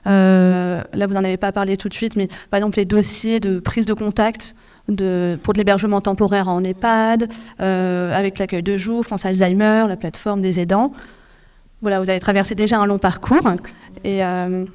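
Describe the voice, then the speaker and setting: A woman interviewing a guest at a public event, asking formal, measured questions. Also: medium pitch, steady tone, a little mellow, clean tone.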